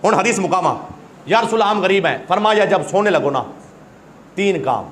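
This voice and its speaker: A man's voice: sits high, animated, normal speed, a bit bright, slight background noise. A male preacher with a sore throat, giving an impassioned sermon that breaks into recited verse. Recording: echoey hall.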